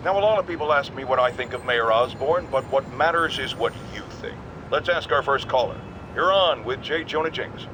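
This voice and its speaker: A man's voice: medium pitch, a little fast, few pauses, noisy background, natural voice. A man playing a blustering talk radio host. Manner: opinionated, preachy, emphatic.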